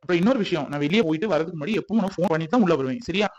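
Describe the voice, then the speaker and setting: A man's voice: sits high, animated, very fast, darkish tone. A young adult man playing a protective young man who soothes his partner and keeps checking that she agrees. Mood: reassuring, firm. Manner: soothing, insistent, repeats "okay?". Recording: dull lo-fi audio.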